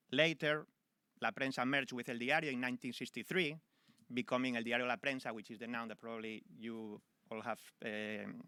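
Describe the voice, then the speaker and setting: A man's voice: medium pitch, sharp tone, no echo. A man, a newspaper executive, giving an informal speech and telling a story to an audience at a public event.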